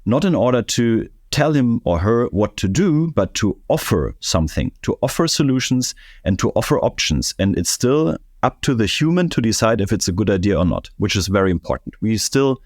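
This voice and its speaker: A man's voice: fairly low pitch, sing-song, normal speed, hardly any pauses, darkish tone, no room sound, clean studio sound. A man answering interview questions as an expert guest, thoughtful and often restarting his phrases. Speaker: guest, air traffic control expert.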